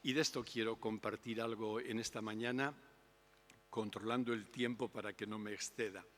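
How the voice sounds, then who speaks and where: An older man giving an unhurried, reflective public talk on spirituality. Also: fairly low pitch, steady tone, fairly bright tone. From Spain. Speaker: invited speaker. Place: lecture hall. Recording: long hall reverb.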